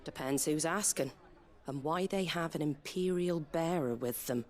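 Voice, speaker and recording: A woman's voice: low voice, unhurried, darkish tone. A British woman playing a wary, blunt middle-aged tavern landlady in a video game, guarded, streetwise and plain-spoken. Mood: wary, warning. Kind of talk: dramatic video game acting.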